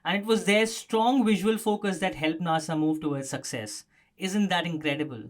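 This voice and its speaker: A man's voice: sits high, moderate pace. A man presenting a scripted explanatory news story as a narrator.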